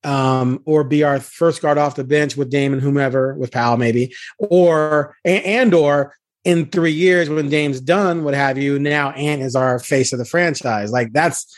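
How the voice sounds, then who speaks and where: A man's voice: on the high side, measured pace, dry recording, studio quality. A man hosting a sports podcast, talking conversationally and emphatically, with punchy phrases and rhetorical questions. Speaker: podcast host, sports beat reporter.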